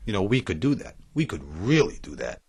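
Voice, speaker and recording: Fast, husky male Hollywood agent. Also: deep voice, very animated, darkish tone, exaggerated voice. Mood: agreeable. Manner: glib, casual. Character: slick, name-dropping male Hollywood talent agent. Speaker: actor. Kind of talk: satirical audio drama acting.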